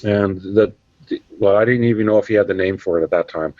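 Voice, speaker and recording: A man's voice: on the low side, brisk, warm tone, no room sound. A Canadian man, a podcast guest, chatting casually and rambling with many fillers. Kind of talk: casual interview chat. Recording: dull, dry sound.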